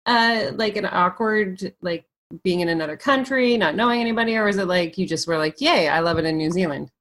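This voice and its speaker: A woman's voice: fairly low pitch, a little fast, fairly bright tone. A woman hosting a podcast who asks her guest eager, curious questions in casual chat. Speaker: podcast host.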